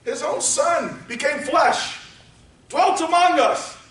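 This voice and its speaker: A man preaching a sermon, moving from quiet teaching up to shouted exhortation. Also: extremely high pitch, slow pace. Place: church sanctuary. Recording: echoey church hall, voice from far off.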